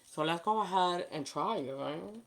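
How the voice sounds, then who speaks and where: A woman's voice: lowish voice, expressive, moderate pace, a bit bright. Chatty New York woman, Spanish-tinged accent. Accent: New York with Spanish influence. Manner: chatty, talks to viewers by name, laughs often. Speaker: nail art livestream host. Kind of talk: casual live chat while demonstrating.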